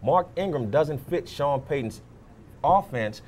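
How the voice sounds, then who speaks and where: A man's voice: fairly high pitch, lively intonation, a little slow, darkish tone, slight background noise. A man giving conversational football analysis as a fill-in co-host, restating his points for emphasis. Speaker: sports show guest co-host.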